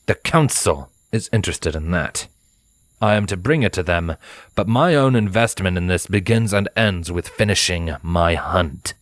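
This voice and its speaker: A man voicing a cold, proud male pony assassin with a haughty, measured, formal way of speaking. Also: low voice, lively intonation, dry recording. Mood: cold, dismissive.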